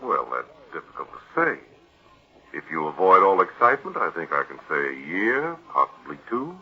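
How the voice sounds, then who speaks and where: An earnest family physician in an old radio play. His low, husky voice rises and falls in lively swings as he speaks formally and pleadingly. The vintage recording is muffled. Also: normal speed, exaggerated voice. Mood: urging, concerned. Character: earnest, cautious family doctor, urging and deferential. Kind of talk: 1940s radio acting.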